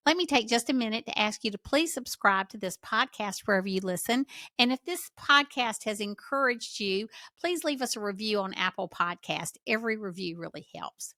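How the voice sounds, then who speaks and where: A woman's voice: mid-range voice, bright, forceful tone, dry recording, studio quality. A woman hosting a podcast, introducing her guest and asking questions in an encouraging, friendly way. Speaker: podcast host. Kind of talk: podcast interview.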